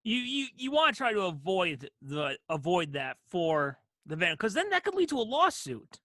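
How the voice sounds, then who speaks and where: A woman's voice: on the low side, sing-song, moderate pace, bright, forceful tone, no echo, clean studio sound. A woman co-hosting a comedy podcast, chatting casually and improvising playfully. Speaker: podcast co-host.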